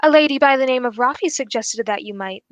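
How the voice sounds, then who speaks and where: A woman playing a calm, courteous male detective in a formal, measured radio drama style. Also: sits high, dry recording, highs cut off, lightly acted. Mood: composed, courteous. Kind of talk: 1940s radio drama acting.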